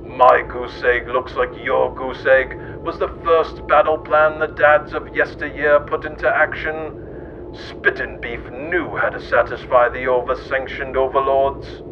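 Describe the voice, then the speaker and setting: A man's voice: flat delivery, a little slow. A man reading absurd, scripted mock-history narration. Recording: muffled lo-fi audio with loud rumbling noise.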